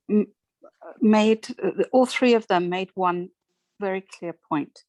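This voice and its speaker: A middle-aged woman sharing a reflection in an online discussion, speaking earnestly and deliberately with emphasis. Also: mid-range voice, dry recording. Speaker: audience participant sharing a reflection. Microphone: video call.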